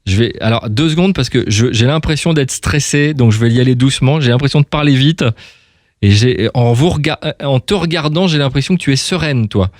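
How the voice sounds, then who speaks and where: Male radio interviewer, conversational, halting with repeated words, very dry sound. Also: medium pitch, no room sound. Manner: hesitant, repeats and restarts his words. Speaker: radio host. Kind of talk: casual live radio interview.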